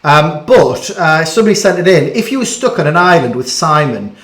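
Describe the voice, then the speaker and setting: A man's voice: on the high side, sing-song, a little fast, a bit bright. A man hosting a casual online interview, chatty and self-deprecating, stumbling over his words as he goes. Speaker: interviewer hosting a school bedtime story chat. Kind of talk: casual online question-and-answer chat. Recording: echoey room sound.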